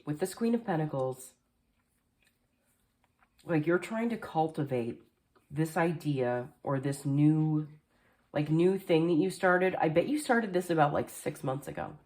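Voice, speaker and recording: A woman giving a casual tarot card reading, chatty and rambling with lots of fillers, a little nasal and hoarse from allergies. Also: deep voice, animated, unhurried, long pauses, darkish tone. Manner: chatty, rambling with many fillers, occasional coughs. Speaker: tarot reader. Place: indoors at a table at home. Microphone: camera facing the table, speaker mostly off screen.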